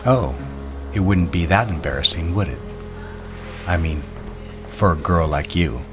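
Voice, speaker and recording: Deep-voiced commanding male lover, acted. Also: expressive, a little slow, music in the background. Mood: smooth, teasing. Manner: terse, commanding, insinuating. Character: controlling, coolly seductive lover giving terse orders. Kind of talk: period melodrama acting.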